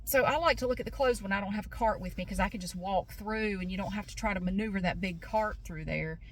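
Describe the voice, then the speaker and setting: A chatty middle-aged woman talks straight to the camera in a vlog, at an ordinary mid pitch. She rambles with plenty of fillers and then pushes hard with emphatic encouragement. A faint hum of ambient noise sits behind her. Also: fast talker, low-quality recording. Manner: rambling, chatty, frequent fillers, emphatic pep-talk bursts. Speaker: vlogger. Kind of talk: casual vlog chat. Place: in her car, then inside a big store.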